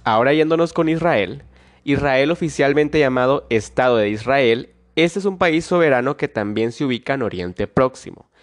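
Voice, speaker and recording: A man's voice: mid-range voice, expressive, moderate pace. A man with a stuffy nose from a cold, hosting a solo history podcast in a casual way that often adds little tag questions. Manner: casual, frequent tag questions and small restarts. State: nasal congestion from a cold. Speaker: podcast host.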